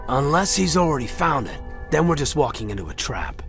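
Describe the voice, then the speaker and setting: Wary, wry soldier, lively pitch, music behind. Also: male voice, a little fast, vocal fry, heavily acted. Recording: game audio with strong music.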